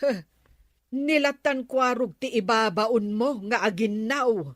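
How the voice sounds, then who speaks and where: Woman acting a nagging wife, slightly high, dry sound. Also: slow pace, no room sound. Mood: exasperated nagging. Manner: scolding, demanding. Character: nagging, exasperated wife ordering husband around the house. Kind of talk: comedy radio drama acting.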